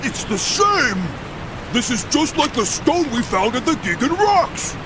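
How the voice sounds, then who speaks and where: A man's voice: extremely high pitch, big pitch swings, a little slow, background noise, full character voice. An actor playing a big, dim, blustering male albatross henchman in a video game cutscene. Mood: excited, blustering.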